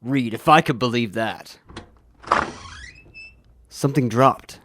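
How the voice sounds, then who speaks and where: A man playing a worried, excitable older police commissioner in a radio drama. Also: mid-range voice, slow pace, lightly acted. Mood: doubtful. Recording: noisy track with clicks.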